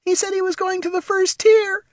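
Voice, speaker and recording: Male narrator doing a squeaky, shaky little gnoll girl, dry close read. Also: flat delivery, normal speed, no room sound. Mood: scared. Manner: quivering, tattling. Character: tiny, frightened gnoll pup girl.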